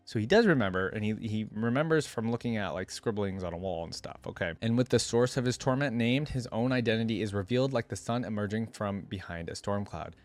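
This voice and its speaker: A man's voice: lowish voice, fairly quick, hardly any pauses. A man reading a story aloud in a solemn, dramatic way, with casual asides as a reaction host.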